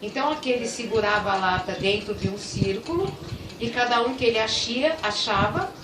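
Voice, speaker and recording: Older woman, fond storyteller recalling her childhood; distant, echoey capture with loud noise. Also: on the high side, lively intonation, normal speed. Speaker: interviewee.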